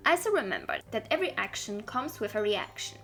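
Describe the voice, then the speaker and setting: A woman's voice: medium pitch, sing-song, fairly quick, pushed and bright, faint music behind. A woman giving informal, scripted advice as a video creator, addressing the listener directly and with emphasis.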